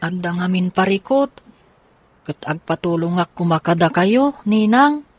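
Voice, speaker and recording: A woman's voice: on the low side, animated, a little slow. A woman playing a polite, earnest school-age child in a radio drama, with an Ilocano accent.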